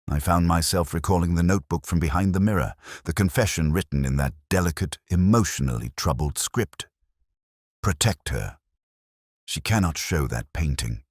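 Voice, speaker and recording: A man narrating a scripted first-person detective mystery in a solemn, literary, measured way. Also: a bass voice, no echo, clean studio sound.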